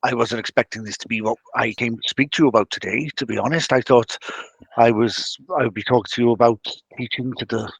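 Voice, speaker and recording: A man plays a mild, earnest clergyman in a tabletop game. He pleads politely in a medium-pitched voice with many halts, recorded close and dry. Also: steady tone, creaky, husky, no echo, poor audio. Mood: earnest, hesitant. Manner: polite, hesitant, halting.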